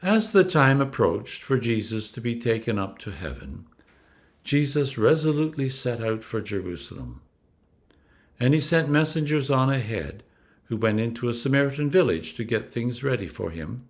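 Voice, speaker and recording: A man reads scripture aloud in the role of a clergyman. His medium-pitched voice rises and falls widely, with a deliberate, storytelling delivery that brings drama to the text. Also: very animated. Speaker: Anglican clergyman reading scripture. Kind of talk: expressive scripted scripture reading.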